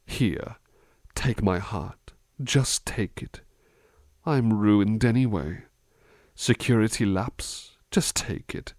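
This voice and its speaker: A man playing a gentle, devoted butler in an intimate ASMR roleplay, soft-spoken, courteous and reassuring, with hesitant phrasing. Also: fairly low pitch, slow pace, no room sound, studio quality. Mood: yearning. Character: gentle, devoted, polite butler attending to a master.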